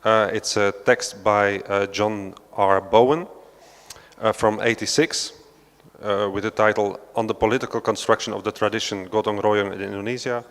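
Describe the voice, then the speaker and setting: A man from Belgium gives an unscripted talk to a panel audience, low-pitched and fairly flat in his melody. He hesitates a lot, filling gaps with "uh" and "um" and restarting his sentences. His voice carries a long reverb from the large hall. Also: normal speed. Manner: hesitant, many fillers and restarts, non-native phrasing. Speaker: panel speaker, researcher. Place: large hall of an art centre.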